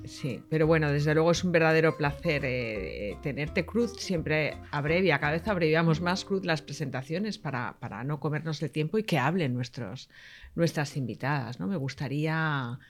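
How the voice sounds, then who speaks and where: Deep-voiced woman podcast host. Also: moderate pace, faint music behind. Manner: hesitant, lots of fillers and restarts. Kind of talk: casual interview chat.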